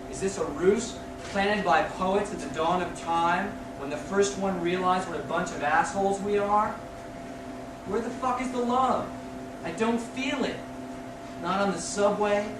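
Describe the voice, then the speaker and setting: A man reading poetry aloud as a poet, declaiming in short, deliberate phrases. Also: high-pitched. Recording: distant echoey room recording with noise.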